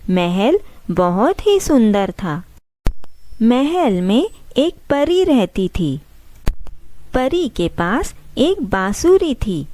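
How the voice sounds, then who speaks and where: A woman's voice: fairly high pitch, very animated, very slow, mellow, slight background noise. A woman narrating a scripted story for children.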